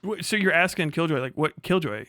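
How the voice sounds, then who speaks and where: A man with a slightly high-pitched voice hosts a casual livestream in a dry-sounding space. He comments in an animated, exasperated way, with plenty of sarcasm and swearing. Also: brisk, dry recording. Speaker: livestream host reacting to a recorded conversation.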